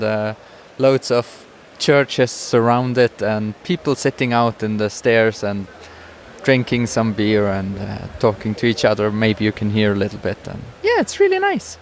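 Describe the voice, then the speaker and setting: A man's voice: medium pitch, a little hiss or hum. A man from Switzerland with a Swiss accent, co-hosting a casual podcast and chatting while he sometimes searches for English words. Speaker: podcast co-host. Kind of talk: casual chat. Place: outdoors in a busy town square.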